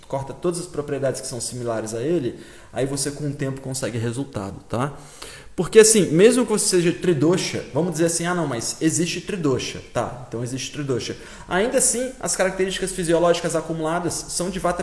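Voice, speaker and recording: A man with a Brazilian Portuguese accent, his voice sitting a little high, talks through a live-stream lesson. He explains in a loose, chatty way, answering viewers and checking in with listeners every few phrases. The sound carries a noticeable room echo. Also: a little mellow. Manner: casual explaining, reads out viewer names, constant "tá?" check-ins. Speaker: Ayurveda teacher hosting a live stream. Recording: roomy echo.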